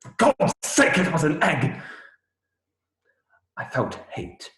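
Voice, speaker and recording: An actor playing a young minstrel, cheery by nature but troubled, earnest and folksy in a radio play. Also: male voice, on the high side, very animated, slow pace, fairly bright tone, exaggerated voice. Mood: indignant. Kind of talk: theatrical radio play acting. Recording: roomy echo.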